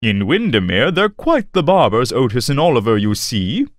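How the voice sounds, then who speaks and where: A man playing a friendly, easygoing traveler with a sly streak and an amiable, courteous manner in an animated children's story. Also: medium pitch, normal speed, no echo, studio quality, natural voice.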